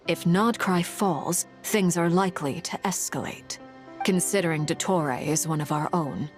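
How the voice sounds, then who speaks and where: A woman playing a grave, dutiful military officer in a video game, speaking in a stern, measured, formal way. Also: lowish voice, close to an everyday voice. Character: grave, dutiful female Fatui officer giving orders and warnings. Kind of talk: scripted video game voice acting.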